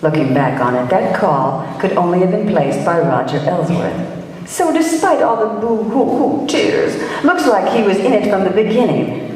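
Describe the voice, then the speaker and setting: A woman's voice: mid-range voice, expressive, moderate pace, a little mellow, natural voice. A woman playing a savvy, wisecracking private detective in 1940s radio style, slangy, breezy and knowing. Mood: wry, explaining. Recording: echoey hall, far from mic.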